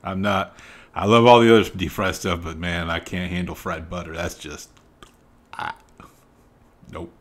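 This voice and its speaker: A middle-aged Southern man with a somewhat low voice talks into a studio mic in a small home room. His chat is casual and emphatic, full of asides and ready laughter. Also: fairly even intonation, unhurried, pauses often. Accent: Southern American. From Southern United States. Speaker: reaction video host. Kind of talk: casual commentary. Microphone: studio mic on arm, wearing headphones.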